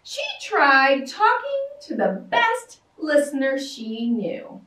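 A woman in her thirties reading a picture book aloud to young children at a library storytime, sing-song and animated, acting out shouts and roars. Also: sits high, takes it slowly, far from the mic. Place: library storytime room with toys. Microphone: far camera. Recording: echoey room, voice from afar.

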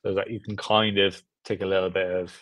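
A man's voice: low-pitched, little pitch movement, brisk, fairly bright tone. A young British man chatting casually as an interview guest, rambling and self-deprecating, repeating himself as he goes. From Britain. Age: young adult. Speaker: guest filmmaker. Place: room at home, on a video call.

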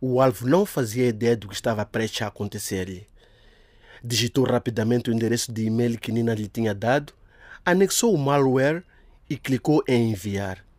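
A man's voice: medium pitch, normal speed, a little mellow, no room sound. Dry, close male story narrator. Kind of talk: scripted drama narration.

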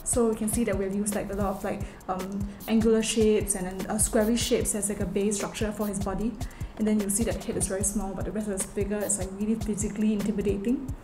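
A woman's voice: mid-range voice, level tone, fast talker, music in the background. Young Singaporean woman, echoey interview. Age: young adult. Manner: informal, frequent "like". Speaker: co-writer and assistant director. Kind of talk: casual explanatory interview. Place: indoor room.

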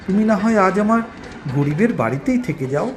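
A man's voice: high voice, lively intonation, fairly quick. A man playing a kindly, worried junior office colleague in a cartoon, deferential and solicitous. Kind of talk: Bengali cartoon voice acting. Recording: loud music bed over dialogue. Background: music.

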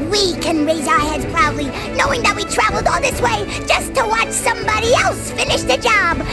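A woman voicing an excitable, scrappy female badger in an animated game, earnest and dramatic in her speechifying. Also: very high voice, full character voice. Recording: loud music behind.

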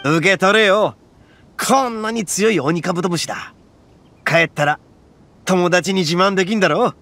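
An actor voicing a loud, brash young oni gang leader in a game, swaggering and rough-talking but big-hearted. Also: male voice, high voice, normal speed, slight background noise. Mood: reassuring. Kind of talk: game voice acting. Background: game music.

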